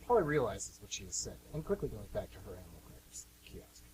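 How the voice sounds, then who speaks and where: A man's voice: on the low side, big pitch swings, fast, a little mellow, low-quality recording. A man hosting and narrating a scripted amateur radio play. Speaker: host and narrator. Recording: amateur radio with clear hum noise.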